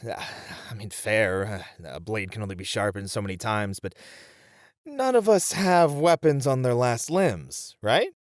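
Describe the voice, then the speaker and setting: Guy doing a grumpy responsible warrior for fantasy roleplay audio, very animated, dry close recording. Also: lowish voice, unhurried, dry recording. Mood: reluctant. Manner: exasperated, grumbling, earnest. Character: gruff, grumbling, responsible muscular male warrior adventurer.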